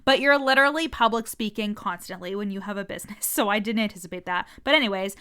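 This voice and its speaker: A woman's voice: medium pitch, quick pace, sharp tone, clear, resonant tone. A woman business coach talking frankly in a casual podcast monologue, swearing freely, with a stutter.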